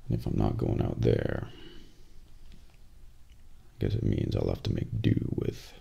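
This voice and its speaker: A man playing a composed, guarded professional assassin in a soft-spoken ASMR role play, with a measured, deadpan delivery and a breathy, gravelly voice. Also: rumbling low, highs cut off, full character voice. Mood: reluctant, deadpan. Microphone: close binaural microphone.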